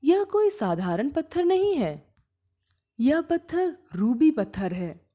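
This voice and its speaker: Woman as awed storybook gem expert, emphatic, high and unhurried. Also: very animated, mellow, full character voice. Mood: reverent.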